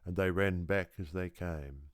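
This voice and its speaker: Very deep, unhurried male storyteller. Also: no room sound, studio quality. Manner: deliberate, theatrical, rhythmic phrasing. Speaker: audiobook reader. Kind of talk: children's story narration.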